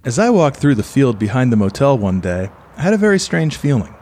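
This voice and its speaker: A man's voice: mid-range voice, big pitch swings, brisk, darkish tone. Earnest, awkward motel man, played by an actor. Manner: earnest, hesitant. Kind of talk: audio play acting and first-person narration.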